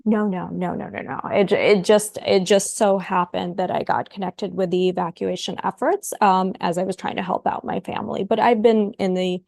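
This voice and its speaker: Creaky-voiced woman, podcast guest. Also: mid-range voice, fairly even intonation, moderate pace, few pauses, a little mellow, no echo, clean studio sound. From Ukraine. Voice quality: thoughtful, repeats words as she starts sentences. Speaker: guest psychologist. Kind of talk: podcast interview.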